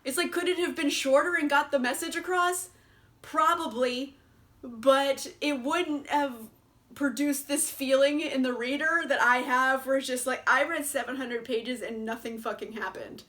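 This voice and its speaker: A woman's voice: high-pitched, normal speed, a bit bright. A young woman casually reviewing books in a chatty vlog, laughing often and swearing freely. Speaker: book reviewer.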